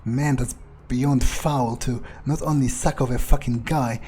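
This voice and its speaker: A man with a soft, dark tone plays a game in character, voicing his thoughts aloud. His delivery is very slow and musing, with crude muttered asides. Faint noise and game ambience sit behind him. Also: medium pitch, fairly even intonation. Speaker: role-playing game streamer, speaking in character. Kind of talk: in-character gameplay commentary, thinking aloud.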